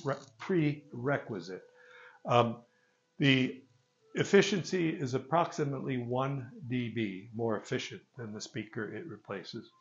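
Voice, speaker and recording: An older man giving an informal product presentation in a gravelly voice, with halting, hesitant phrasing, recorded on a phone a few meters away. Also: mid-range voice, dull audio. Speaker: loudspeaker company presenter. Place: carpeted listening room with stone wall, indoors. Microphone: phone camera a few meters away.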